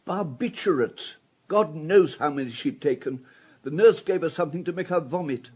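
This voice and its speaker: An actor playing an obliging but world-weary hotel floor waiter with a husky voice in a radio drama. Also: male voice, on the high side, lively intonation, normal speed, a little mellow. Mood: weary, gossipy.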